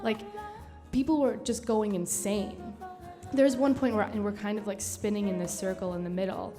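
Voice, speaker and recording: A woman's voice: mid-range voice, steady tone, normal speed, long reverb tail, music in the background. A young woman telling an anecdote as an interview guest, informal and chatty in manner. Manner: informal, giggly, frequent "like". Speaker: interview guest, touring dancer.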